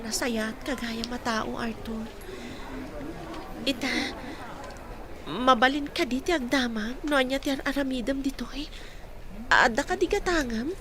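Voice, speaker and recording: Woman acting earnest young woman, high voice. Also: measured pace, pauses often, background noise. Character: earnest, polite young working woman, wrongly blamed. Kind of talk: audio drama acting.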